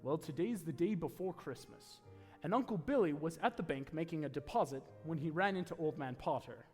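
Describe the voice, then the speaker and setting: An actor playing a heavenly narrator in a 1940s-style radio play, telling the story in a theatrical, folksy way. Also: male voice, a little high, expressive, a little fast, breathy edge. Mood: grave. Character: wise senior heavenly narrator recounting a life to Clarence. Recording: live stage taping in echoey hall, music.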